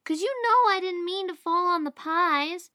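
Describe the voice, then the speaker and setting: A woman's voice: very high voice, normal speed, bright, forceful tone, no echo, clean studio sound, pitched above the performer's own voice. A woman voicing a guilty small boy who whimpers and pleads in childish, colloquial speech in a storybook reading. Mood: hopeful, pleading.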